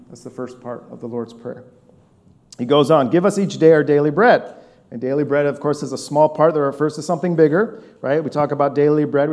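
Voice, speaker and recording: A man's voice: fairly high pitch, a little mellow. A man in his forties preaching a conversational sermon. He talks earnestly and checks in with his listeners with an easy "right?". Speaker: pastor.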